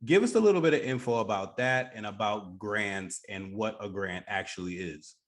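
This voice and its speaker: A man's voice: lowish voice, moderate pace. A man hosting a casual radio interview, speaking in an agreeable, conversational way. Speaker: radio show host.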